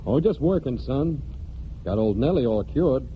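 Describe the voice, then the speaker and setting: A man's voice: mid-range voice, animated, measured pace, a little hiss or hum, close to an everyday voice. An actor playing a plain-spoken, proud farmhand with folksy rural speech, telling his story in a 1940s radio drama. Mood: warm, earnest. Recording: dull old 1940s radio broadcast.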